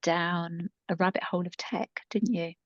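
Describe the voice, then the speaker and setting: A woman's voice: on the low side, fairly even intonation, normal speed. A woman in her fifties co-hosting a podcast, chatting casually in a rambling, self-deprecating way. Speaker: podcast co-host and coach. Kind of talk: casual conversational storytelling. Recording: muffled, roomy echoing recording.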